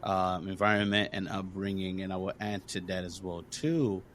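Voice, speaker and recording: A man's voice: deep voice, moderate pace, fairly bright tone, slight background noise. A man from Congo hosting a podcast, talking earnestly and encouragingly in casual conversation, with lots of fillers. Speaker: podcast host.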